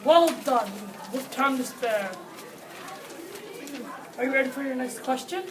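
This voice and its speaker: A female reader playing a proud king who runs a contest, reading the script haltingly. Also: high voice, lively intonation. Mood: approving. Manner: halting reading with repeated words. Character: proud male king running a contest of wits. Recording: distant mic, audience chatter.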